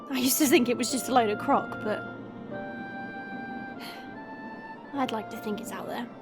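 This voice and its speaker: A woman's voice: on the high side, normal speed, music in the background, natural voice. A woman playing a cheeky, chatty young monster girl in an audio roleplay, playful, teasing and theatrical. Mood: wistful.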